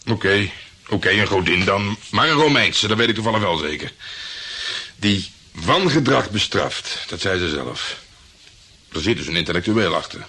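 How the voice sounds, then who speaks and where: An actor playing a cocky, flirtatious private detective in a radio drama, boastful and jokey in colloquial from the Netherlands. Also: male voice, sits low, animated, normal speed, sharp tone, slightly rough, exaggerated voice. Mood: smug, speculating. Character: cocky flirtatious male private detective, self-assured and slangy.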